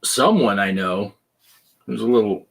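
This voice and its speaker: A man in his fifties talks slowly through a casual gaming podcast with a slightly husky voice. His pitch rises and falls a lot, and he keeps joking as he reads chat comments aloud. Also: expressive, fairly bright tone. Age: 50s. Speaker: podcast host.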